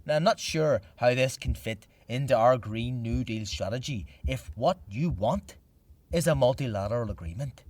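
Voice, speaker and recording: A man's voice: mid-range voice, expressive, normal speed, darkish tone, no room sound, natural voice. A man acting a wary, doubtful parliament delegate in a radio play, formal and bureaucratic. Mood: sceptical.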